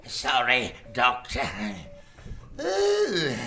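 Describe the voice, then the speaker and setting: A dim, woozy henchman in an echoey live radio play. The speech is extremely slow and swoops wildly up and down, dopey throughout. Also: high voice, huge pitch swings, creaky, sharp tone, a little hiss or hum, full character voice. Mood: dazed, apologetic. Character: dim, bumbling male henchman of doctor. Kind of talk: live radio drama acting. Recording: echoey live stage recording.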